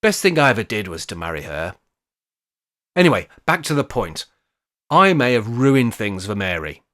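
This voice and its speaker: A man's voice: on the low side, sing-song, normal speed, a bit bright. A man playing a wry, scheming older care home resident with an English accent and jokey, mock-grand phrasing in an amateur radio play. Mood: rueful, reflective.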